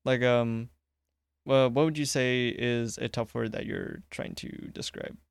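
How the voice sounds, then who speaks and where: A young man chatting casually, relaxed and reassuring, who laughs easily. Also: mid-range voice, measured pace, gravelly, smooth, no room sound, studio quality. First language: English. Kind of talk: casual chat.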